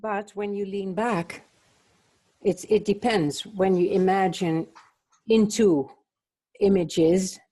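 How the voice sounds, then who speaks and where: Slow, husky woman musing contemplatively. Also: medium pitch, steady tone, warm tone. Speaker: book club co-host. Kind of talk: contemplative spiritual discussion.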